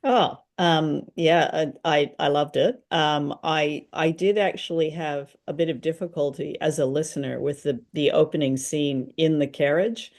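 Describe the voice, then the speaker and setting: A woman in her sixties co-hosting a casual review chat on a video call, with halting phrasing. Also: sits low, darkish tone. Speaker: show co-host. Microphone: video call, wearing headphones.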